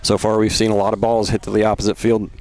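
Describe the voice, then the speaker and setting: A man's voice: on the low side, monotone, fast talker, a little mellow, slight background noise. A man giving casual color analysis during a live radio baseball broadcast outdoors. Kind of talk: casual sports analysis commentary.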